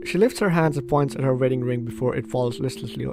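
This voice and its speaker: A gaming host giving casual, animated playthrough commentary and reading game text aloud, with guitar music behind. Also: medium pitch, quick pace. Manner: animated, chatty reactions to the game.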